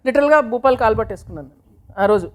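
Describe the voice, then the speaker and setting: High-pitched woman in casual interview chat. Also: lively intonation, quick pace, darkish tone. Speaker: film team member in a promotional interview.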